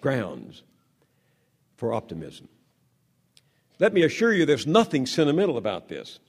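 A man in his seventies from the American South, a journalist giving a measured speech with dramatic pauses that trail off to a low hush. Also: wildly swooping pitch, breathy edge. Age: 70s.

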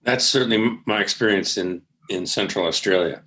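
A man, an anthropologist on a panel, speaking plainly and in a measured way. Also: fairly low pitch, fairly bright tone, poor audio. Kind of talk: academic panel answer.

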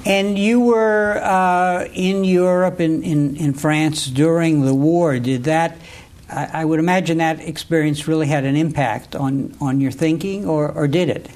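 A man's voice: fairly high pitch, fairly even intonation, measured pace, a little mellow. A man hosting an academic interview, who gives a formal introduction and then asks hesitant questions, often repeating words. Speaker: host, interviewer.

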